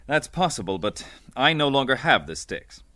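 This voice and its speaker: A man playing a big, calm, commanding adventure hero in an old-time radio serial, measured and deliberate. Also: mid-range voice, no echo. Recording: vintage radio recording with faint noise.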